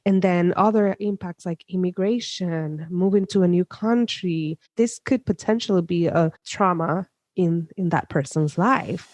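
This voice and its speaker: A woman's voice: lowish voice, unhurried, hardly any pauses, a little mellow, dry recording. A woman from Puerto Rico with a Puerto Rican accent, a psychologist telling stories in a casual interview with many fillers and restarts. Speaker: psychologist guest.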